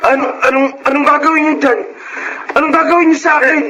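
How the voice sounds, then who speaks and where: A man's voice: extremely high pitch, level tone, fast, noisy background. A man playing a frightened, cornered debtor in a Filipino radio drama, stammering in panic. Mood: panicked, pleading. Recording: music bed under the dialogue.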